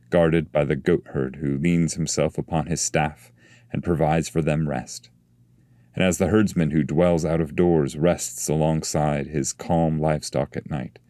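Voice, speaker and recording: A man reading epic poetry as a scripted narration, in a measured, solemn way. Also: extremely low pitch, darkish tone, dry recording, clean studio sound.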